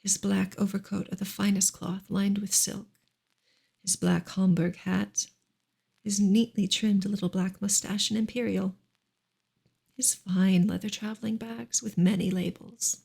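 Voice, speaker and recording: Soft, husky woman reading in ASMR style. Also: medium pitch, flat delivery, measured pace. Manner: soft, hushed, occasionally slipping into whisper. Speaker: reader on an ASMR channel.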